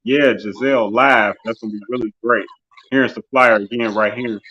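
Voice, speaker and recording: A man's voice: mid-range voice, fairly even intonation, moderate pace, bright, forceful tone, dull audio. A man in his forties hosting an interview show, closing it out in a loose, rambling way full of "you know" and "um.". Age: 40s. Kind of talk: casual live-stream show closing.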